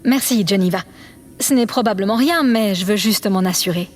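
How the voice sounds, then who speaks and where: A woman's voice: mid-range voice, normal speed, natural voice. A woman playing an earnest, polite chief engineer aboard a spaceship in an audio drama, careful and matter-of-fact. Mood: earnest, concerned. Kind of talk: scripted audio drama acting. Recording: clear background noise.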